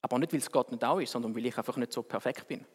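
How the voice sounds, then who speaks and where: A man with a Swiss German accent, preaching a sermon in a conversational, wry way that swings between quick and slow delivery. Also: medium pitch, husky. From Switzerland. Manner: conversational, wry, speeds up and slows down for emphasis. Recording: long reverb of a hall.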